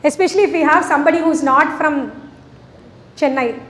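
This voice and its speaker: A woman's voice: high-pitched, brisk, fairly bright tone, roomy sound, in a large hall. A middle-aged woman with an Indian English accent, leading a workplace session and asking the audience questions in a conversational way. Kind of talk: interactive talk to an audience.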